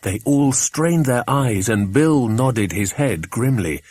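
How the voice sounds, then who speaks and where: A man reading a children's adventure audiobook, an expressive scripted narration. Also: mid-range voice, slow pace.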